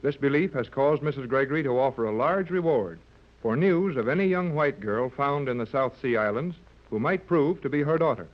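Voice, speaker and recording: A man's voice: mid-range voice, lively intonation, fairly quick. A man narrating a scripted 1930s radio serial as its announcer. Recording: dull lo-fi 1930s radio recording.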